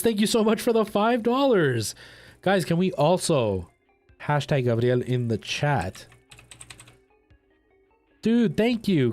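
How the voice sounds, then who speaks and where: A man's voice: a little high, darkish tone. Casual male streamer over music. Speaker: live streamer and host. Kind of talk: casual livestream chat with viewers.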